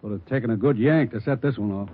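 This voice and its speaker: An American actor playing a brisk police lieutenant in a 1940s radio crime drama, husky and matter-of-fact. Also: male voice, medium pitch, slight background noise, lightly acted. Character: brisk, businesslike male police bomb-squad lieutenant. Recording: tinny 1940s radio recording.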